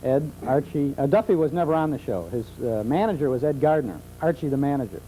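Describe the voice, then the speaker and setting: An American man with a very mellow voice and middling pitch. He chats enthusiastically as an expert guest in a television studio, recounting details in anecdotes, with a faint hum behind. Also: a little fast, low-quality recording. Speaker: guest expert on old-time radio. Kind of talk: talk show conversation, enthusiastic anecdotes.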